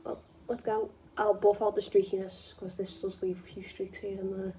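A woman's voice: medium pitch, level tone, normal speed, dark tone, a little hiss or hum. A young woman with a northwest English accent chats casually to camera in a rambling, self-deprecating way, recorded on a phone at home. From northwest England. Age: young adult. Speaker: vlogger. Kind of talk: casual chat to camera while doing makeup. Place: indoors in a room at home. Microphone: phone propped close in front.

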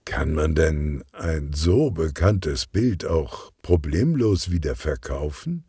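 A cautious male accomplice in a children's crime story, played by a man reading aloud. He sounds measured and appreciative. The voice is mellow and takes its time. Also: very deep voice, big pitch swings, breathy edge, exaggerated voice. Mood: doubtful.

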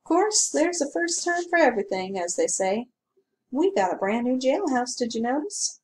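A woman's voice: high-pitched, sing-song, moderate pace, a little mellow, voice pushed up. A woman voicing a middle-aged rural male constable who talks in a folksy, drawling way, sour by turns and chatty. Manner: folksy drawling talk, sour then gabby. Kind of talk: audiobook character reading.

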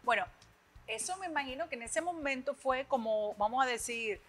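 A woman's voice: sits high, expressive, normal speed. A middle-aged woman with a Dominican accent presenting and interviewing effusively as a talk show host in a television studio. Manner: gushing, effusive.

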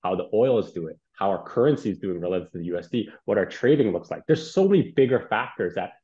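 Casting: man, deep register, conversational panelist, heard through lo-fi call sound. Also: lively intonation, quick pace, a little mellow. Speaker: real estate professional, panelist. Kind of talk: casual panel discussion. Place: indoors, on a remote video call. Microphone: video call, earbuds in view. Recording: dull, lo-fi video call audio.

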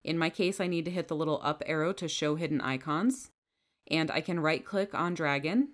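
Low-voiced woman calmly guiding software steps. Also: normal speed. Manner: calm, methodical step-by-step instructions. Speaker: software trainer. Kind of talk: scripted screen-recording tutorial. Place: voice-over over a screen recording.

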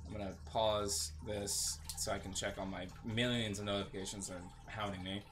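A man's voice: on the low side, level tone, moderate pace, background noise. A man doing casual, rambling gameplay commentary as host of a gaming video, with a sarcastic, joking manner.

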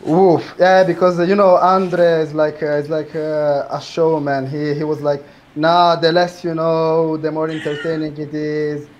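A man's voice: on the high side, little pitch movement, unhurried, highs cut off, slight background noise. Laid-back young man on a headset. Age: young adult. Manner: laid-back, hesitant, lots of fillers. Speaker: speed date contestant. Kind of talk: casual chat. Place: indoor room. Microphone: headset on a video call.

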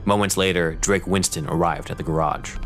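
A man's voice: low-pitched, fairly even intonation, fairly quick, soft background music. A man narrating an audio drama in a scripted, dramatic style.

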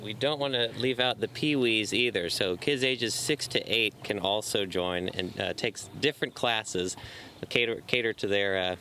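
A man's voice: lowish voice, normal speed, sharp tone. A young man from Virginia giving informal interview answers outdoors as a sailing coach. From Virginia, United States. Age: young adult. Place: outdoors on a waterfront dock. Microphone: interviewer's handheld microphone. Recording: outdoor sound with faint noise.

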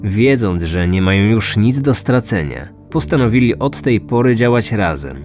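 A man hosting a true-crime podcast, narrating from a script in measured storytelling style. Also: lowish voice, lively intonation, darkish tone. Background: background music.

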